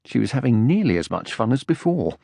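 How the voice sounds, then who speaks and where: A man narrating a children's audiobook from a script in an expressive storytelling style. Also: mid-range voice, brisk, vocal fry, no echo, studio quality.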